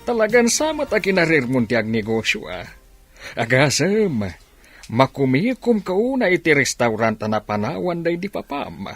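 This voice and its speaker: Man acting animated husky Ilocano uncle. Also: on the high side, moderate pace, dry recording. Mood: coaxing. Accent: Ilocano Filipino. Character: easygoing, teasing uncle fond of parties. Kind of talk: Ilocano radio drama acting. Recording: music behind the voice.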